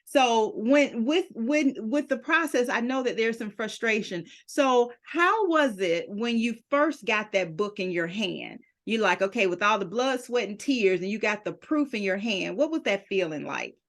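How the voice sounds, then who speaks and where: A middle-aged woman hosting an interview as a book publisher, an animated storyteller who acts out the dialogue she quotes. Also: fairly high pitch, unhurried, hardly any pauses. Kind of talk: podcast interview.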